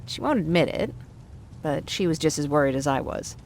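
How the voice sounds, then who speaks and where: An actress playing a warm, joking young survivor in a video game, chatty and quick to apologise for herself. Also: female voice, sits low, animated, a little hiss or hum. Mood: relieved, teasing. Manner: chatty, self-deprecating, apologetic. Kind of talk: video game dialogue acting.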